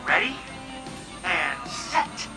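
An actor playing a sarcastic, theatrical young hacker prankster in a game cutscene, with an American accent and a mocking, excitable manner. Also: male voice, sits high, drawn-out words, reverberant, soft background music, heavily acted. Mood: manic, excited. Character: manic, sarcastic masked male hacker prankster. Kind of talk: video game cutscene acting.

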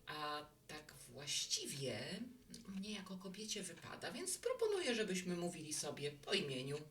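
A hospitable woman runs a little bar in an audio drama, played by an actress. Her voice is on the low side and moves in lively swings, musing as she chats. She sounds set back from the mic. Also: normal speed, far from the mic. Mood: friendly, welcoming. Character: hospitable, reflective woman running a small bar. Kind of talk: Polish audio drama dialogue.

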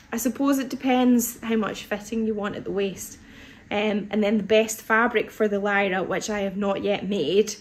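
A woman in her thirties chats her way through viewer questions on a live stream, thinking aloud with frequent ums and half-finished starts. Her voice is slightly hoarse from an oncoming cold. She sits at a desk in a fabric shop, picked up by a nearby phone or webcam mic. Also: mid-range voice, a little hiss or hum. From United Kingdom. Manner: chatty, thinks aloud with many ums and restarts. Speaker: fabric shop owner hosting a live sewing Q&A. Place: fabric shop floor with fabric bolts and dress forms, indoors. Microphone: phone or webcam at desk distance.

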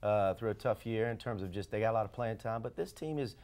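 A middle-aged man with a lowish voice talks as a basketball coach on a studio set. He speaks in a measured way, leaning on fillers and restarting sentences. The sound is a clean lapel mic in a TV studio. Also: darkish tone. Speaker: head basketball coach, show guest. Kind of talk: casual studio interview.

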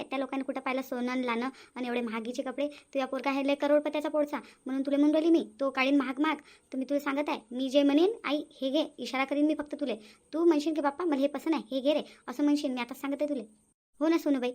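A woman's voice: sits high, steady tone, fast, darkish tone, close to an everyday voice. Woman doing a sly greedy daughter. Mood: scheming. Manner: wheedling plotting.

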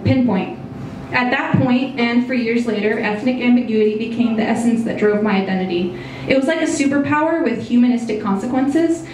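A young woman reading her personal essay aloud into a stand mic at a small indoor venue, in a measured, reflective way. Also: on the high side, a little mellow, distant mic, slight background noise. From United States. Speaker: essay reader. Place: small brick-walled bar venue, indoors. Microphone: stage mic on a stand. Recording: very echoey room, voice a bit off-mic.

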